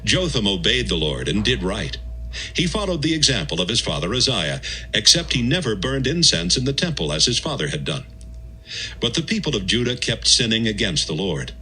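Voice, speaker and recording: A man narrating a dramatised audio Bible as a scripted reading, in measured, clearly phrased storytelling. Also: fairly low pitch, sing-song, pushed and bright, a little hiss or hum, rough recording. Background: music.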